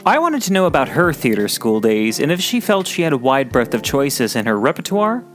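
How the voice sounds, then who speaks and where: A man hosting and narrating a documentary-style episode in a reflective, measured way. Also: medium pitch, big pitch swings, soft background music. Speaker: host.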